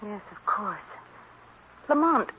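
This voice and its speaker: Concerned sleuth's lady companion, medium pitch, polished questioning tone, faintly noisy old radio drama. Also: very animated, slow, phone-quality sound. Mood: inquisitive. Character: attentive, concerned young female companion of a sleuth. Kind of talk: 1940s radio drama acting.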